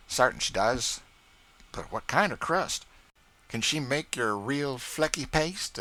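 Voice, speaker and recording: A proud, scolding older woman cook who brags about her baking in a folksy drawl, put on by a male reader. The voice sits high and loud, swooping up and down as she fusses. A storybook figure full of bustle and scorn. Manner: scolding, boastful folksy dialect. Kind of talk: audiobook character voice.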